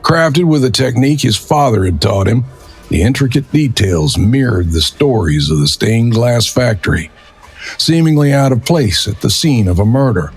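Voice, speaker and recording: A man's voice: medium pitch, lively intonation, normal speed, slightly rough. A man narrating a detective audio drama in a brooding, dramatic storytelling manner. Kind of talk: scripted noir narration.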